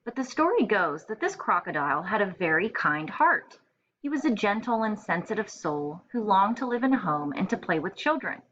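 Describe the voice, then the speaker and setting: A woman's voice: mid-range voice, a bit bright. A woman teacher reading a storybook aloud to first graders, expressively and with simple explanations for young listeners. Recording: dull lo-fi home recording with faint noise.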